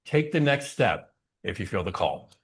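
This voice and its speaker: A man leading an online spiritual service, speaking in a gentle, inviting and personable way as he guides listeners. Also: fairly low pitch, sing-song, normal speed, husky.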